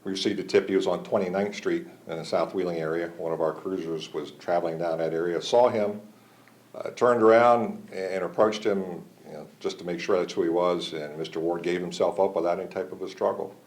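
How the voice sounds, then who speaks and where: A man's voice: low voice, steady tone, fairly quick, darkish tone. A man with an American accent giving a matter-of-fact, procedural statement as a law enforcement official in a news interview. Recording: faint background noise.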